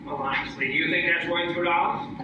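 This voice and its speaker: An actor playing a glib, lecherous comic detective who wisecracks deadpan in the style of an old-time radio comedy. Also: male voice, on the high side, fast talker, natural voice. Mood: showman patter. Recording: noisy, dull, very echoey live stage, distant.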